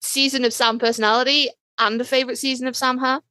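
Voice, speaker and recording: Higher-voiced woman, emphatic podcast co-host. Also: flat delivery, fast, pushed and bright, no room sound, studio quality. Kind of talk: casual fan chat.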